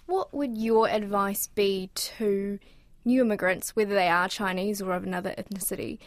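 A woman, hosting a news podcast, reading scripted narration and asking interview questions in a measured way. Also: mid-range voice, fairly even intonation, no echo. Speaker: podcast host.

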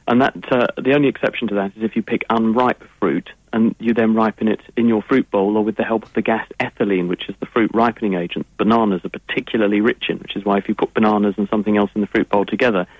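A man's voice: fairly low pitch, slightly rough, dry recording. A man with an English accent, a science guest on a radio call-in, answering listeners' questions in long, fluent explanations. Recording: tinny phone-line sound.

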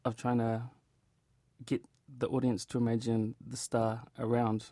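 A man with a New Zealand accent talks casually as an interview guest. His medium-pitched voice has an informal, storytelling manner. The sound is very dry and free of room echo. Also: completely flat delivery, a little slow, dark tone. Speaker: theatre director and actor being interviewed.

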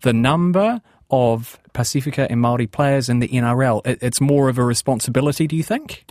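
A man's voice: mid-range voice, brisk, a little mellow, no echo, clean studio sound. A man with a New Zealand accent interviewing a guest in a conversational way, thinking aloud as he asks. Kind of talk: radio interview.

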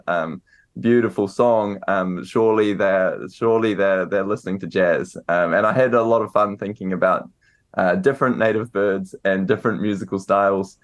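A young New Zealand man answering interview questions in a relaxed, hesitant way, with many fillers and restarts. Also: lowish voice. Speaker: author and guest.

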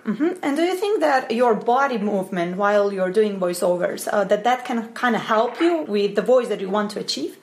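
A woman's voice: medium pitch, animated, moderate pace, hardly any pauses. Woman interviewer with simple questions.

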